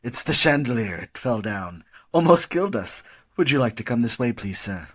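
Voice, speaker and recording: A man playing a fussy, excitable butler in a comic murder mystery audio drama, theatrical, formally polite and flustered. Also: mid-range voice, moderate pace. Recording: music bed under dialogue.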